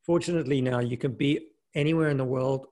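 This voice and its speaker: A man's voice: mid-range voice, quick pace. A middle-aged man who grew up in South Africa talks casually as an interview guest, often restarting his sentences. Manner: relaxed, with frequent restarts and "you know". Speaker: guest, investment fund manager and mountaineer.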